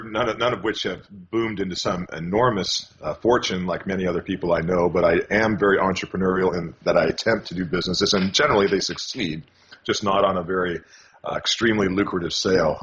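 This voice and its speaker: An older man from California, a podcast guest, talking casually and haltingly with many restarts. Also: low-pitched, a bit bright. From California, USA. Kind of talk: casual interview answers. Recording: dull lo-fi call audio with faint noise.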